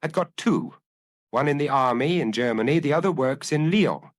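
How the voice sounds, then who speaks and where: Man performing a guarded young waitress, short matter-of-fact answers, lively intonation, detective audiobook. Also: fairly high pitch, moderate pace, no room sound, studio quality, voice pushed up, close to an everyday voice. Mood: guarded, plain. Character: young working-class Parisian waitress, plain-spoken and guarded.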